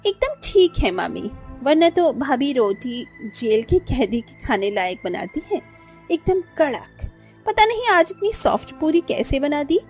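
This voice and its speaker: A woman's voice: high voice, big pitch swings, measured pace, a little mellow, soft background music, pitched above the performer's own voice. A woman voicing a spoiled young sister-in-law in a cartoon drama, mocking and always finding fault. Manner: mocking, complaining.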